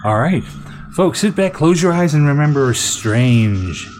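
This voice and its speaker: A man's voice: fairly high pitch, animated, a little slow, darkish tone, a little hiss or hum. A man with an American accent casually hosting an old-time radio show.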